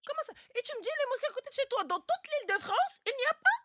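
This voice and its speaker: A woman's voice: extremely high pitch, very animated, fast, pushed and bright, dry recording, studio quality. A woman hosting a casual live advice chat, speaking bluntly and insistently and often repeating words to drive a point home. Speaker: live stream host giving relationship advice.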